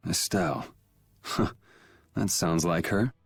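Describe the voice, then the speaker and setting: A man's voice: sits low, slow. An actor playing a cool, sardonic young swordsman in a video game, talking in a dry, casual way. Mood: cool, thoughtful. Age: young adult. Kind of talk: dramatic video game voice acting. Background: background music.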